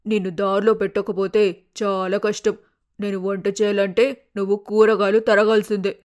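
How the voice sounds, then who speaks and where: A woman's voice: medium pitch, level tone, normal speed, darkish tone, close to an everyday voice. A woman voicing a stern, practical mother bird in a cartoon moral story, nagging and scolding in a matter-of-fact way. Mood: firm.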